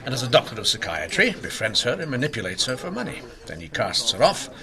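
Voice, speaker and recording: An actor playing a stern, driven Victorian inspector in a radio mystery, with emphatic, intense delivery. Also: male voice, medium pitch, big pitch swings, fast talker, a crowd in the background. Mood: urgent explaining. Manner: emphatic, intense, clipped declarations. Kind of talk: old-time radio mystery acting.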